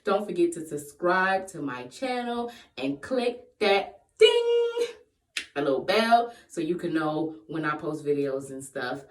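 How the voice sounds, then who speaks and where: A woman of twenty-eight chatting casually in a question-and-answer vlog, playful and quick to laugh. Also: lowish voice, sing-song. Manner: playful, laughs often, breaks into sung bits. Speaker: vlogger. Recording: echoey room, voice far from the mic.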